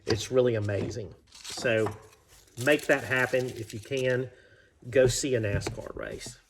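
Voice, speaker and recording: A man's voice: mid-range voice, a little slow, a little hiss or hum. An American man rambling casually and informally in a folksy way, thinking aloud as he hosts a garage vlog. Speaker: vlog host. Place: home garage workshop.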